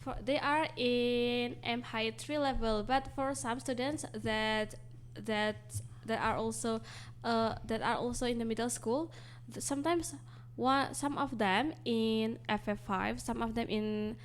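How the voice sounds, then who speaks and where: Indonesian woman teacher on radio, patient explainer, a bit high-pitched. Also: fairly even intonation, unhurried, few pauses, a little hiss or hum. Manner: explains patiently, switches between English and Indonesian. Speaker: English course teacher. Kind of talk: radio interview guest.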